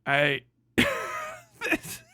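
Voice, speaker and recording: A man's voice: on the high side, wildly swooping pitch, very slow, sharp tone, no echo, studio quality. A man live streaming in casual reaction chat, talking in an exclamatory, profane way.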